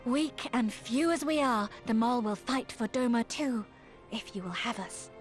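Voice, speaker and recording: Woman playing a high-voiced, solemn steppe leader. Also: soft background music, close to an everyday voice. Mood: humble resolve. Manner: formal, measured, archaic phrasing. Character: gentle solemn female steppe tribe leader addressing her people. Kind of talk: video game cutscene acting.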